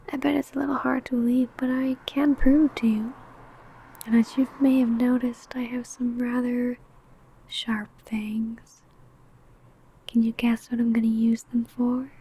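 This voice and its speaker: A woman playing a possessive, predatory vampire in an ASMR roleplay, in a breathy, teasing, menacing whisper. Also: on the high side, mellow, slight background noise, poor audio. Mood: smug, teasing. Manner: slow, intimate, savouring phrasing.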